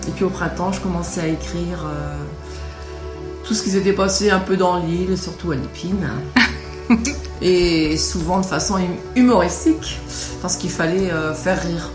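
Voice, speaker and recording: A woman from Noirmoutier, a native patois speaker, answering a radio interviewer in a halting way with many hesitations. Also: fairly low pitch. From Noirmoutier island, France. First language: patois of L'Épine. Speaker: author being interviewed. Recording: roomy radio interview with music behind.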